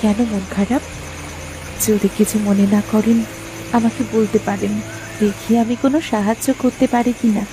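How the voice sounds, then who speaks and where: A woman's voice: medium pitch, level tone, normal speed, natural voice, low-quality recording. A woman playing a mysterious, caring girl in a cartoon story, speaking politely and with concern. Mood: gentle concern. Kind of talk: dramatized Bengali cartoon story dialogue. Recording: music behind the voice.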